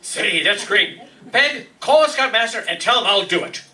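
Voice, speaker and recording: A man's voice: high-pitched, lively intonation, moderate pace, sharp tone, roomy sound, exaggerated voice. A man playing an earnest, self-pleased husband with an eager, polite manner in a radio comedy play. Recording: noisy, distant stage microphone.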